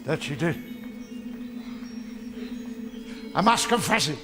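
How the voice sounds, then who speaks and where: An actor playing a wistful old miser looking back on his past in a musical radio drama, halting and subdued. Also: a little high, expressive, music in the background. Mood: subdued regret.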